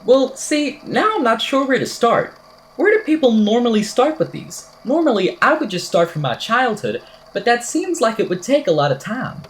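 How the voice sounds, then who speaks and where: A woman's voice: a little high, big pitch swings, normal speed. A woman playing an eccentric, whimsical podcaster in an amateur audio drama, telling a strange tale in a verbose, wry, theatrical way. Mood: musing, wry. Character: eccentric, whimsical podcaster recounting a supernatural statement.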